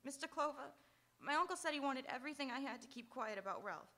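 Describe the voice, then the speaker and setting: A woman's voice: sits high, animated, fast, bright, forceful tone, full character voice. A woman playing a distraught, protective woman in an old-time radio crime drama, pleading and melodramatic. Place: auditorium stage, live radio theatre.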